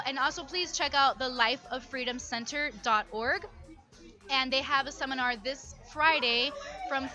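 A woman's voice: high voice, pushed and bright. A young woman from Miami co-hosting a live radio broadcast at a lounge, chatty and earnest into a desk mic. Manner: chatty, earnest about a cause. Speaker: radio co-host and fashion line founder. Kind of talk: casual radio talk. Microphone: desk microphone on stand.

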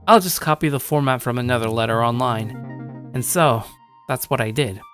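A man's voice: medium pitch, lightly acted. A man playing a jaded, self-conscious young shut-in, telling his story in the first person with weary, sardonic asides. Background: background music.

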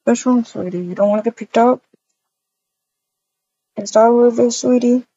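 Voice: mid-range voice, moderate pace, dark tone, breathy edge. Young husband and dad played by a roleplayer, affectionate, coaxing, repeats himself. Game roleplay, muffled sound. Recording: dull lo-fi microphone.

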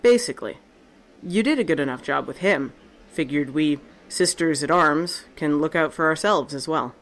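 A woman's voice: deep voice, moderate pace. A woman playing Tessa, a tough, sarcastic and profane survivor, in a fan audio drama. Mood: wry.

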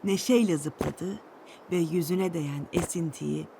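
A woman reading a scripted children's storybook tale with an expressive storytelling delivery. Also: fairly low pitch, unhurried, vocal fry, noisy background.

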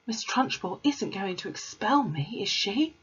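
A woman voicing a small, clever, earnest girl in a read-aloud storybook, with a breathy voice. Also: mid-range voice, very animated, unhurried, a bit bright, voice pushed up, full character voice. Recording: slightly muffled recording.

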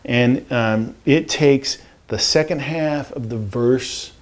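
A man in his forties giving a casual guitar lesson in a home studio, relaxed and rambling with lots of fillers. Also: medium pitch, slow pace. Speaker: guitar teacher. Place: home music studio, indoors. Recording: guitar strummed under the voice. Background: acoustic guitar playing.

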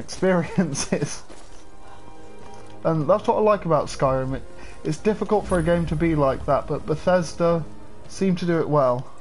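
A man's voice: on the high side, darkish tone. A young man casually talking through a livestream while playing, chatty and swearing at the game. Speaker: game streamer.

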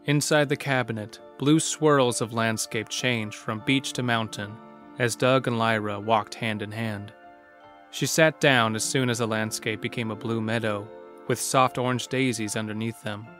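A male narrator tells a scripted horror story. His voice sits a little low, with faint music underneath. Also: steady tone, normal speed, a bit bright.

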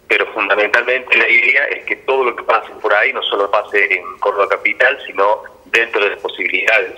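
A middle-aged man with an Argentine accent explains his work in a radio interview by phone. His voice sits a little low in pitch, yet it is very bright and forceful in tone. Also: highs cut off. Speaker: theatre director, interview guest.

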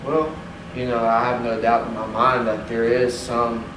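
A man's voice: mid-range voice, flat delivery, moderate pace. A man on a panel answering audience questions, rambling with plenty of "you know" fillers. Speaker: panelist for the fishing industry. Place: hotel conference room. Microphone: distant room mic. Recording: echoey room, distant mic, air-conditioning hum.

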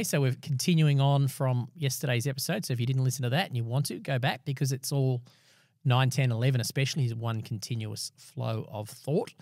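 Chatty middle-aged podcast host. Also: male voice, mid-range voice, dry recording, clean studio sound. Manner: chatty and complimentary. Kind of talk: casual chat. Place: small podcast studio indoors. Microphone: studio mic on boom arm with headphones.

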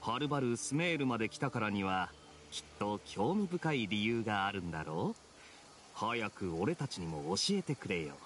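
Suave, animated young knight. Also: male voice, on the low side, moderate pace, slight background noise. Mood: wry, teasing. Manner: smooth-talking, wry, nonchalant. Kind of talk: anime game voice acting. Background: game music.

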